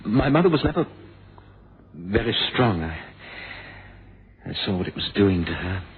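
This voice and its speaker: An actor playing an earnest, wounded young man in a suspense radio drama, speaking haltingly and repeating words. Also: deep voice, natural voice. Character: troubled, wounded young man with violent past. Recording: noisy phone-like vintage radio recording.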